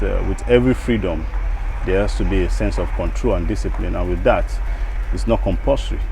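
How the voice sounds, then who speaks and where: A low-voiced man with a Nigerian accent shares his views casually. He circles back and repeats phrases as he thinks. There is faint outdoor traffic noise behind him. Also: creaky, a little mellow, low-quality recording. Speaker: street interview respondent. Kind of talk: casual opinion talk.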